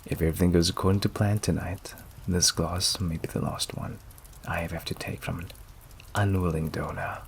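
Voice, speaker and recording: A man's voice: low-pitched, little pitch movement, brisk. A man playing a suave, possessive vampire in intimate ASMR roleplay, silky, teasing and theatrically polite, often dropping to a whisper. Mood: courtly, confident. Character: suave possessive male vampire, menacing, seductive, courteous. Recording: clear background noise and ambience. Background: rain and fireplace ambience.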